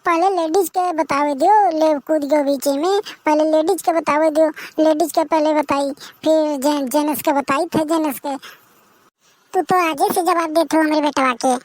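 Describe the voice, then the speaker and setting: A woman's voice: high-pitched, fairly even intonation, moderate pace, darkish tone, dry recording, lightly acted. A woman with a rural Awadhi accent voicing garrulous, boastful village women in a bantering matchmaking comedy cartoon. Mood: cheeky. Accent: Awadhi, rural northern India.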